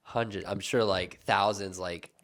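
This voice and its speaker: A man hosting a casual interview, asking questions in informal, halting phrases. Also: fairly low pitch, fairly even intonation, fairly bright tone. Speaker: interviewer, host.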